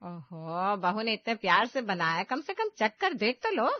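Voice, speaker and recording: A woman's voice: mid-range voice, sing-song, a little slow, no room sound, dull audio. A woman playing a kindly, indulgent middle-aged mother-in-law in story dialogue. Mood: gently scolding.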